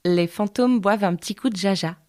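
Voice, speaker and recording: A woman's voice: medium pitch, fairly quick, mellow. A woman performing poetic scripted lines.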